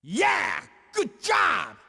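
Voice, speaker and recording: The excitable guy calling out from a fishing cabinet in a cavernous arcade, swooping wildly up and down, theatrical. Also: very high voice, drawn-out words, creaky, raised voice, exaggerated voice. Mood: excited, cheering. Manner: exclamatory, theatrical. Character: excitable male fishing guide cheering the player on. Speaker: video game announcer. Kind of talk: arcade game announcing, short exclamations.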